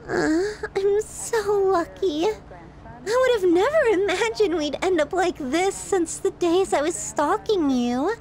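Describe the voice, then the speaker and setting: A woman's voice: very high-pitched, expressive, a little mellow, exaggerated voice, low-quality recording. A woman playing a possessive, sadistic yandere girlfriend in an ASMR roleplay, cooing and teasing with sweet menace. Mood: lovestruck, obsessed. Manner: cooing, drawn-out, teasing, clingy delivery.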